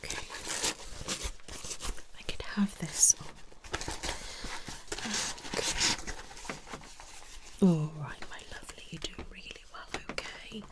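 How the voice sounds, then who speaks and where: A woman speaks in a hushed, breathy whisper as a doctor in an ASMR medical roleplay. Her voice sits slightly low, and she talks gently and unhurriedly, reassuring the listener throughout. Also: takes it slowly, pauses often.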